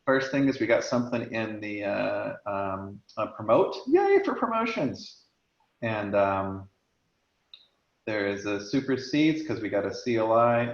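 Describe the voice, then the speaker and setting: A man hosting an online meeting, talking casually with many fillers while sharing his screen and losing his voice. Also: lowish voice. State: losing his voice, clearing throat. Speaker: meeting host. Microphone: video call. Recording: echoey lo-fi video call.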